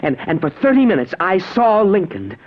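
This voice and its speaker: Earnest young man, swooping pitch, old radio. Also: wildly swooping pitch, exaggerated voice. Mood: excited. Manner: halting, stammering, breathless delivery. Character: earnest, awed young man, time-travel experiment subject. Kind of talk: 1950s radio drama acting. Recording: dull vintage radio broadcast recording.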